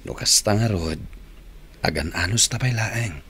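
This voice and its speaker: A man's voice: on the low side, a little slow, a bit bright, a little hiss or hum. A man with a Filipino accent playing an earnest young long-distance boyfriend in a radio drama. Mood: reassuring.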